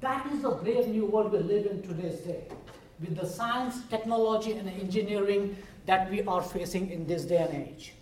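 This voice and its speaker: A man's voice: high voice, normal speed, a little mellow, slight background noise. A man with an Indian accent, a biology professor from India, giving a chatty, self-deprecating university lecture. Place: lecture room. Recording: roomy hall, heard from far.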